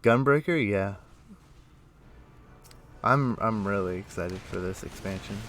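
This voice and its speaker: A man livestreaming a game, chatting casually and thinking aloud in a rambling, halting way. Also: fairly low pitch, a bit bright, a little hiss or hum. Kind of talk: casual chat while playing an online game. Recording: music playing behind. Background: music.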